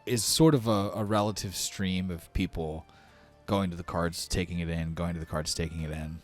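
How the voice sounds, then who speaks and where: Deep-voiced male game master over music. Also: steady tone, moderate pace. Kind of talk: tabletop game narration. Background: background music.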